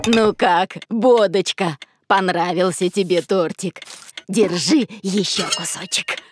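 Woman acting motherly monster, slow coaxing delivery, fond, doting, children's cartoon, clear background noise. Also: mid-range voice, steady tone, lightly acted. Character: doting, unhurried creature mother feeding her grown son.

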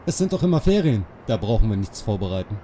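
A man's voice: fairly low pitch, big pitch swings, fast, dark tone, a little hiss or hum. A man voicing a lazy, carefree male schoolteacher in a children's audio drama, chuckling as he talks.

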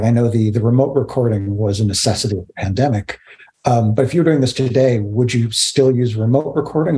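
Male interviewer, middle-aged, slightly deep voice, asks measured questions over a video call. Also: a little mellow. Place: indoors on a video call, virtual backdrop.

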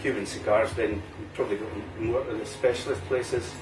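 A middle-aged man with an Aberdeen Scottish accent, hosting a relaxed cigar review chat at a home bar and using local words like "wee" and "aye". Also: lowish voice, fairly quick. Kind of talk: casual chat. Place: home bar room, indoors. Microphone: camera mic at table distance. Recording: roomy, distant camera mic, faint music.

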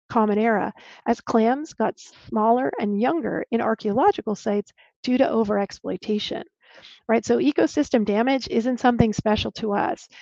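A woman's voice: fairly high pitch, darkish tone, no echo. Woman archaeologist lecturing over a video call. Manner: clear explanatory lecturing with small restarts. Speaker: archaeologist giving a talk. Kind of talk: presenting a lecture with slides. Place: home room on a video call. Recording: dull, lo-fi dry video call audio.

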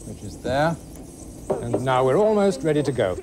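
A man presenting a game show at an even, middle pitch, measured and formal in delivery. The recording carries a clear hiss of background noise, like an old broadcast. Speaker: studio host. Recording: noisy old TV studio recording.

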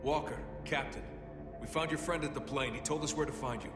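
An actor playing a resolute, dutiful US special forces captain in a military game, with an American accent. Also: male voice, mid-range voice, fairly quick, close to an everyday voice. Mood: firm, controlled. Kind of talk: military drama game dialogue. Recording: reverberant hall, loud music behind.

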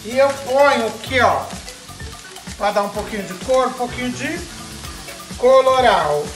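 A middle-aged woman with a Brazilian Portuguese accent cooks on camera in her home kitchen, her fairly high voice tossing out campy slang and playful cries. The camera's own mic catches her in an echoey room, and music plays clearly behind her. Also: lively intonation, unhurried. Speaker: cooking show host. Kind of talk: casual cooking demonstration.

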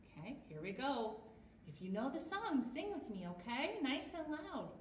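American lady doing kids storytime, really high animated voice, bit roomy and far from mic. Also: moderate pace, a little hiss or hum. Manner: sing-song, encouraging, animated. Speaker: children's storytime host. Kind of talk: storytime for toddlers with songs. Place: small plain room indoors.

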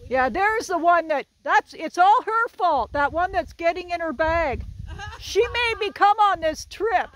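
A woman's voice: very high-pitched, animated, a little slow, a little hiss or hum, poor audio. Chatty woman in her sixties hiking. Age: 60s. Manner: chatty, jokey, laughs often. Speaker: vlogger hiking with a group. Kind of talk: casual talk to camera. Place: outdoors on a wooded hilltop trail. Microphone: phone held while walking.

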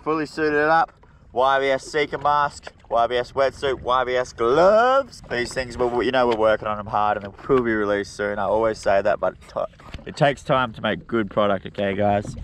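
A young Australian man vlogging from a jet ski at sea, talking with excited, slangy enthusiasm straight to his viewers through an action camera held at arm's length. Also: medium pitch, moderate pace. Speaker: spearfishing vlogger. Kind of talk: excited vlog talk to camera. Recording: wind and water noise outdoors.

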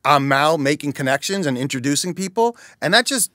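A man's voice: fairly high pitch, expressive, a little fast, sharp tone, dry recording, studio quality. A middle-aged man, a guest on a podcast, explaining in earnest, casual interview talk. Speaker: chamber of commerce president, guest. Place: small dark studio with couches. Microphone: studio mic on stand.

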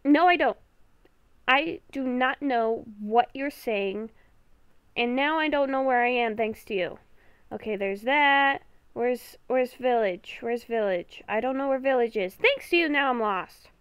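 Woman playing a high-pitched, exasperated stubborn young heroine. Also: unhurried, smooth, dry recording, natural voice. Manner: whiny, emphatic, argumentative. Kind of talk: improvised Minecraft roleplay.

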